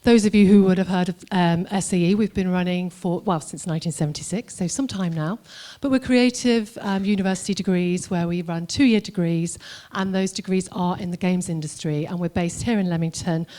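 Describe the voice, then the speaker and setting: A woman's voice: fairly low pitch, steady tone, fairly quick, warm tone. A woman moderating a panel discussion in front of an audience, chatty and restarting her sentences often. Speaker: moderator and lecturer.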